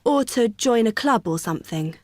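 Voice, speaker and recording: An actress playing a friendly student union receptionist who explains a form patiently in a scripted exam dialogue. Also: female voice, on the high side, animated, normal speed, dry recording, lightly acted. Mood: helpful. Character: cheerful young female union helper.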